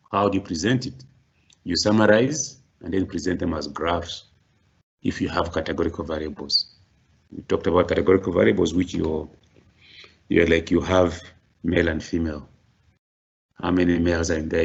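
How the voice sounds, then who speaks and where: A man's voice: sits low, vocal fry, slightly rough, dull audio. A middle-aged man giving a university workshop lecture, explaining step by step with frequent restarts and sudden rising stresses on key words.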